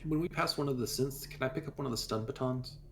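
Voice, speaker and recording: Gravelly, casual male game player. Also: mid-range voice, fast.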